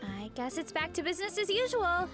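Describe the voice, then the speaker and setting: High, expressive, earnest young heroine. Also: female voice, fairly quick. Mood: matter-of-fact. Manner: earnest, gentle, hesitant. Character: sweet earnest young woman, modest, big-hearted big eater. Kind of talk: anime game voice acting. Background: game music.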